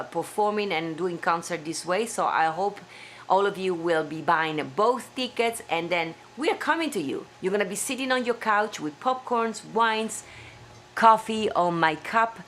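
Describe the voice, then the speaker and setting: A woman's voice: medium pitch, fairly bright tone, slight background noise. A woman from Italy with an Italian accent, hosting a live stream from home in an effusive, chatty way. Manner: effusive, chatty, with small slips in English. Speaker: live-stream show host. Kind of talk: casual live-stream sign-off.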